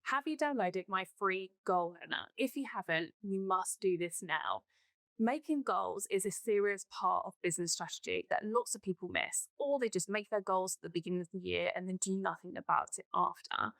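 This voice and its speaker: A woman's voice: mid-range voice, normal speed, vocal fry, fairly bright tone, dry recording. A woman reading a scripted promo for her own podcast in a direct, urging way.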